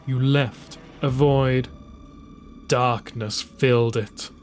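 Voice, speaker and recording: A man's voice: mid-range voice, fairly even intonation, drawn-out words, slight background noise. A man voicing a gloating, sinister witch queen with a smug, taunting manner in an audio drama. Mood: cold taunting.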